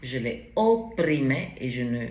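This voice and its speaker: Slow-talking accented woman reading tarot. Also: a bass voice, big pitch swings, a little mellow, lo-fi sound. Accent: foreign accent in French. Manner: slow, halting, many fillers and restarts. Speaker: tarot card reader. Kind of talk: casual tarot reading to camera. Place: bright indoor room at a table. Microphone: camera in front at table height. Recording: roomy echo, faint background hiss.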